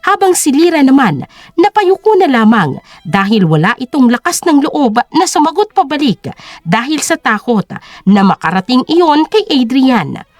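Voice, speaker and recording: Casting: female audio-drama narrator, high pitch, lively melodic delivery, dry close sound. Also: moderate pace, no room sound. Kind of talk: scripted dramatic story narration.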